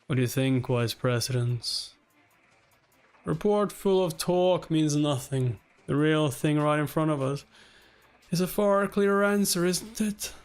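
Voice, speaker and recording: Man reading as a commanding, measured corporate executive. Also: on the high side, soft background music, lightly acted. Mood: probing, formal. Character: commanding, coolly decisive male corporate executive. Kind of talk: dramatic read-aloud of webtoon dialogue.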